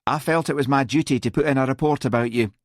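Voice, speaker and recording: A man's voice: medium pitch, fast, no echo, studio quality, pitched above the performer's own voice, natural voice. A man voicing a sour, contemptuous policewoman in an audiobook, with a whining, sing-song Scottish accent. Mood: self-righteous.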